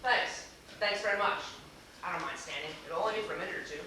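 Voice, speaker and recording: A flustered, polite gentleman acted by a man stumbles anxiously through formal old-fashioned phrases on a theater stage. His voice is bright and keen, heard from a distance in a very echoey hall. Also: high voice, fast. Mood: polite, uneasy. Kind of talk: amateur stage acting in a comic one-act play. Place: small theater stage, recorded from the audience. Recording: echoey theater, distant camera mic, hissy noise.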